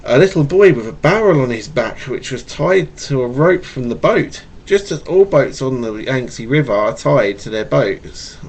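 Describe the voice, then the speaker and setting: A man's voice: mid-range voice, lively intonation, moderate pace, hardly any pauses, a little mellow, husky. A man reading a children's picture book aloud to his child, stumbling and repeating words with a stutter. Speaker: father reading a bedtime story to his child. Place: at home, webcam recording. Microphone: webcam microphone.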